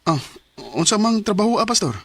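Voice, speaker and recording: An actor playing a dutiful young farm son in a Bisaya radio drama, earnest and deferential, with a Cebuano Filipino accent. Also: male voice, high-pitched, brisk, a bit bright. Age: young adult. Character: dutiful young son from a poor farming family.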